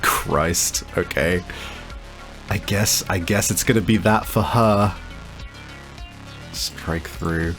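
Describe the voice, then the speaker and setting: A man improvising narration as game master of a tabletop game, thinking aloud haltingly as he describes the action and the rules. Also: low-pitched, steady tone, a bit bright, soft background music.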